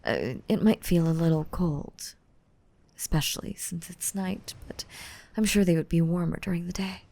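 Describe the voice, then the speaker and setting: A woman voicing a gentle, motherly young mermaid in an intimate, softly whispered ASMR roleplay, soothing and tender with pet names. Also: lowish voice, moderate pace. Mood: tender, playful. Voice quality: soft, breathy, often whispering. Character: gentle, motherly five-hundred-year-old mermaid, still young, softly dominant.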